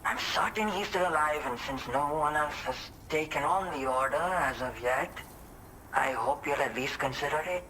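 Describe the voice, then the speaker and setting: An actor playing a polite, earnest, apologetic son in a video game. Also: male voice, a little high, normal speed, sharp tone, a little hiss or hum, rough recording. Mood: worried, hopeful. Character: polite, earnest son minding his father's shelter. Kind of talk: scripted game dialogue.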